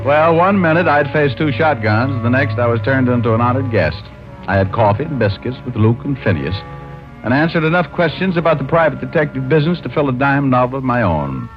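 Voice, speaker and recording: A man's voice: mid-range voice, expressive, fairly quick, natural voice. An American actor playing a wisecracking, self-mocking private detective who narrates his case in mid-century radio style. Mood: wry narration. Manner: wry, glib, self-mocking. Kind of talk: 1940s-50s radio detective acting. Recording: dull old radio recording, music behind.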